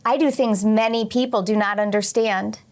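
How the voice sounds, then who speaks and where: A woman teacher reading a picture book aloud to young children expressively, pausing for short teaching asides. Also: medium pitch, steady tone, a little mellow. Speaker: teacher and read-aloud host.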